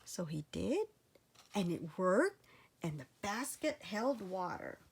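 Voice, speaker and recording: A woman reading a children's storybook aloud with expressive narration. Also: medium pitch, takes it slowly, vocal fry, a little hiss or hum. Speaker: storybook reader.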